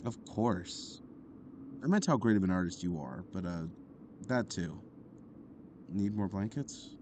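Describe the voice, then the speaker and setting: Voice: sits low, measured pace, slight background noise. An actor voicing a kind young boy who teases and reassures his friend. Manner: warm, teasing, reassuring, animated. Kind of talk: audio drama acting.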